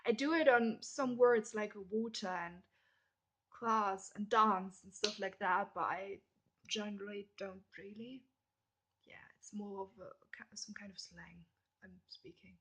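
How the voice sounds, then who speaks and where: Young German woman with a gravelly voice. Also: mid-range voice, steady tone, a little slow, poor audio. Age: young adult. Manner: chatty, drops into soft whispered asides. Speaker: vlogger. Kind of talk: casual question-and-answer tag video.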